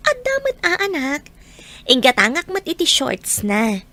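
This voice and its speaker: A woman's voice: high voice, huge pitch swings, normal speed, pushed and bright, full character voice. A woman with an Ilocano accent acting a hardworking, generous mother in an audio drama. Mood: generous, cheerful. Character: hardworking, generous mother, once abandoned by her husband.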